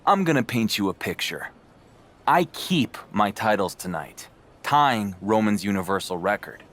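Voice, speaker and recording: An actor playing a calmly defiant male wrestling champion in a game cutscene, speaking in a smug, deliberate way. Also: on the low side. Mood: smug taunting. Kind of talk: scripted wrestling drama cutscene.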